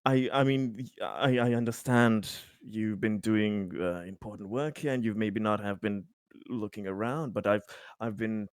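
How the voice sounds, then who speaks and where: A man's voice: lowish voice, measured pace, no room sound, studio quality, lightly acted. A man playing an awkward, over-polite bureaucratic boss in a tabletop game, hesitant and rambling in word salad. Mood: awkwardly conciliatory.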